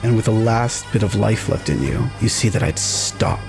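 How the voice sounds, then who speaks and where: A man's voice: lowish voice, big pitch swings, moderate pace, vocal fry, over music. A man taking part in a tabletop game, casually narrating what his character does.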